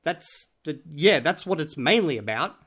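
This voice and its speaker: A man's voice: on the high side, animated, normal speed, a bit bright. Blunt, sarcastic man. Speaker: reaction video host. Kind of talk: casual reaction commentary.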